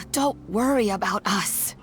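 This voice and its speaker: A gentle, elderly grandmother in a video game. She speaks slowly and patiently in a mid-pitched voice, with soft music underneath. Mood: reassuring.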